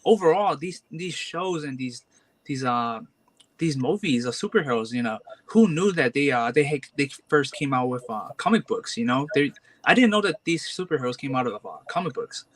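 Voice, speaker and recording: A man's voice: mid-range voice, expressive, normal speed. A man in his twenties, a comic collector, talking casually and hesitantly with many fillers and restarts. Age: 20s. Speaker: guest collector. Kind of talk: casual chat.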